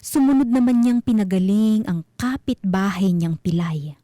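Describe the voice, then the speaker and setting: A woman with a Filipino accent narrating a scripted mystery story as host, in a measured, suspenseful manner. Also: mid-range voice, dark tone, dry recording, clean studio sound.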